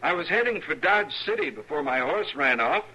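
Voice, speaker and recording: An actor playing a prim, formal eastern scholar in a 1950s radio western. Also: male voice, a little high, animated, moderate pace, sharp tone, exaggerated voice, rough recording. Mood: polite. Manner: overly polite, formal, fussy. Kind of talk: 1950s radio drama acting.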